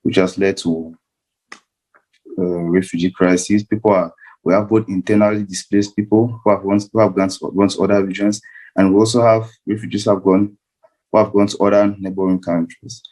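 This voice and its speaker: Male panelist, fairly low voice, earnest explanation with repeated phrases. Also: level tone, a little fast, darkish tone, poor audio. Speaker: panel speaker.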